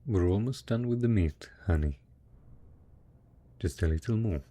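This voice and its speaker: A man plays a devoted husband in a post-apocalyptic roleplay drama, talking softly and intimately to the spouse he cares for. His voice is fairly low and husky, and he takes his time. He is encouraging and hopeful, full of endearments. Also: lively intonation, unhurried. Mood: hopeful, reassuring. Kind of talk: roleplay audio drama, intimate monologue addressed to listener.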